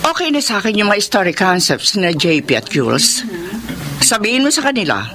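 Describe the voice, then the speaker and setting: A woman's voice: mid-range voice, big pitch swings, moderate pace, over loud music, close to an everyday voice. An actress with a Filipino accent playing a stern, haughty middle-aged female boss in a radio drama, speaking imperiously. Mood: reflective, guilty. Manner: imperious, switching between Tagalog and English. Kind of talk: Philippine radio drama acting.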